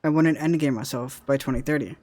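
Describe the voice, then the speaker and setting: A man's voice: mid-range voice, steady tone, fairly quick, darkish tone. A young man, a content creator, chatting casually about his future, rambling and often repeating himself with "right?". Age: young adult. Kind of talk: casual chat.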